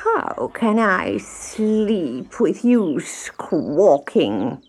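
A woman's voice: fairly low pitch, sing-song, drawn-out words, darkish tone, breathy edge, exaggerated voice. A woman voicing a lazy, whiny, sleepy cat in a children's storybook, with a complaining drawl. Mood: grumpy.

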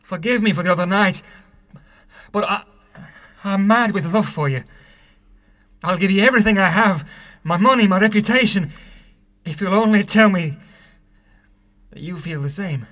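A man's voice: sits high, moderate pace, long pauses, a bit bright, voice pushed up. A man voicing a passionate young lover who pleads urgently. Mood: desperate. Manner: urgent, heightened.

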